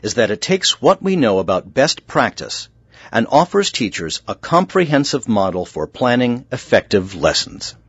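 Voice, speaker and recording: A man giving scripted documentary narration in a measured, informative manner. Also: medium pitch, big pitch swings, breathy edge, no room sound. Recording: dull, muffled sound.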